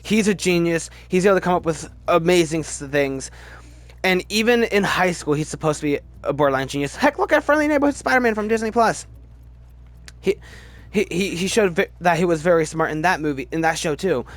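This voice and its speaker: A man's voice: high-pitched, lively intonation, fairly quick, no room sound. A man giving casual, opinionated review commentary as a video host, talking emphatically and often stammering and repeating words. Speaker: video host ranking films.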